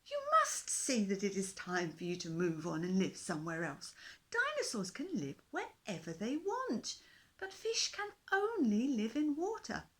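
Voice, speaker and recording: A woman doing a high, earnest fish king. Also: wildly swooping pitch, unhurried, fairly bright tone. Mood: earnest, pleading. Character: small worried but brave male fish king.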